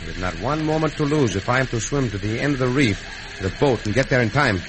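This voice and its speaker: An actor playing a gallant, confident cowboy hero in a western radio drama, with courtly, decisive speech. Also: male voice, mid-range voice, animated, fairly quick, close to an everyday voice. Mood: resolute. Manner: courtly, decisive, sprinkles Spanish words. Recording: noisy old radio drama recording.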